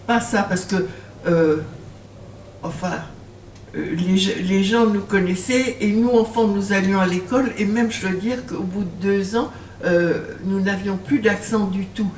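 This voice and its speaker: A woman's voice: medium pitch, measured pace, a little mellow. An older woman author being interviewed in a bookshop, talking hesitantly with many fillers and laughing when she tells stories. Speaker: author and guest. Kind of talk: bookshop talk with audience. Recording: roomy, distant mic, faint noise.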